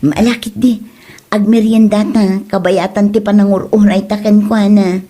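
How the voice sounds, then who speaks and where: A woman's voice: mid-range voice, little pitch movement, normal speed, mellow, close to an everyday voice. A woman playing a young daughter who soothes and placates, in an Ilocano comedy drama, with an Ilocano accent. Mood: placating. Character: young daughter, soothing and defending her suitor. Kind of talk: comedy audio drama acting.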